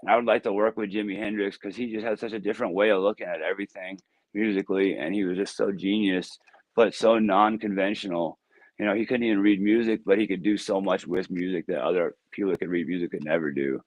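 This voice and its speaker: Deep-voiced man, easygoing and dry. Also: steady tone, fast talker, no echo, poor audio. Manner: easygoing, laughs often, loose rambling answers. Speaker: music artist guest. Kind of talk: casual interview answers.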